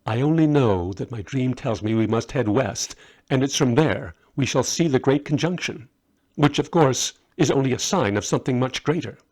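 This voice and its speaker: A proud but uneasy astrologer magus from a storybook, voiced by a male reader. He explains himself earnestly in a medium-pitched voice. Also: expressive, moderate pace, darkish tone, lightly acted.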